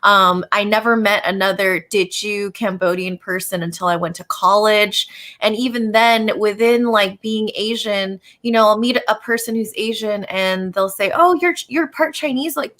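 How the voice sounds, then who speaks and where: A woman's voice: medium pitch, sharp tone. A young adult woman from Los Angeles, speaking casually as a guest on a panel and filling her talk with "you know" and "like". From Los Angeles, United States. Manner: chatty, many fillers like "you know" and "like". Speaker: panel guest, business owner.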